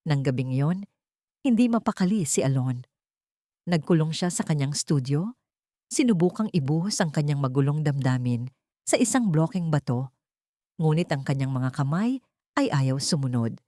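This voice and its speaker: A woman's voice: low-pitched, lively intonation, moderate pace, long pauses, warm tone, no echo, studio quality. A woman with a Filipino accent reading a scripted dramatic story as an audiobook narrator.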